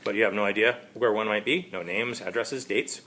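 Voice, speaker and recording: A man's voice: on the low side, fairly even intonation, fairly quick, bright, forceful tone, a little hiss or hum. A man reading aloud as a skeptical, guarded private detective with brusque, casual wording. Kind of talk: amateur storybook reading of character dialogue.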